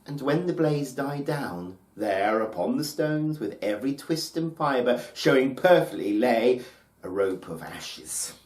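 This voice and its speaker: A man narrating a folktale as a storyteller, with solemn, deliberate, theatrical phrasing. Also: mid-range voice, animated, darkish tone. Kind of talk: dramatic scripted folktale narration. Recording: roomy, echoing space.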